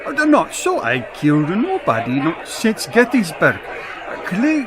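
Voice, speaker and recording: A man's voice: high voice, huge pitch swings, full character voice. A man acting a flustered immigrant farmer amid crowd din. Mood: anxious, protesting. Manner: halting broken English with stammers. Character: flustered immigrant farmer known as the Dutchman, protesting innocence. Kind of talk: old-time western radio drama acting. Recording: noisy, with loud crowd din.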